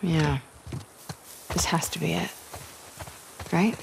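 A woman's voice: fairly low pitch, fairly even intonation, slow pace, creaky, background noise, exaggerated voice. A woman playing a tough, determined young survivor in a game, terse and matter-of-fact. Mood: focused. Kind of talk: naturalistic game acting.

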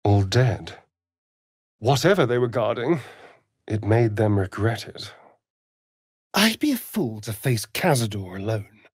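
An actor playing a sardonic, vain vampire rogue in a video game, with an arch, theatrical, drawling delivery. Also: male voice, on the low side, sing-song, a little slow, long pauses, breathy edge, dry recording, studio quality, heavily acted. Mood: grave, wary. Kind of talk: video game character lines.

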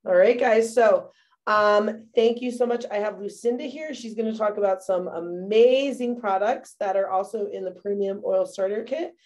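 A woman's voice: medium pitch, moderate pace, a little mellow. A woman, a mother, casually presenting products from her bathroom at home, chatty and full of fillers. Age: young adult or middle-aged mother. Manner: chatty, many fillers, addresses viewers as "you guys". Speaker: essential oil presenter.

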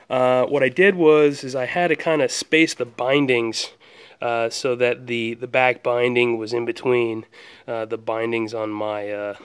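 A young man casually walking through a product at home, relaxed and rambling with lots of ums and restarts, filmed on a handheld phone. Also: medium pitch, smooth. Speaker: product reviewer. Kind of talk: casual hands-on product walkthrough. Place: indoors, carpeted room at home.

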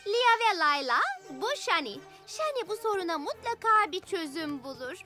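A very high, lively voice for an upbeat, confident cartoon girl. She is enthusiastic and encouraging, swinging widely in pitch as she leads the way. Music plays clearly behind her. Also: normal speed, full character voice. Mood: eager. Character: upbeat, confident, helpful young girl leader. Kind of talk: animated children's cartoon dub.

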